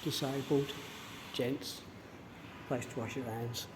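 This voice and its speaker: A man casually vlogging as he walks outdoors along a city street, rambling with pauses and restarts, his voice a little hoarse. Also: mid-range voice, steady tone. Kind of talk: casual walking vlog. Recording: street traffic noise behind. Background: traffic noise.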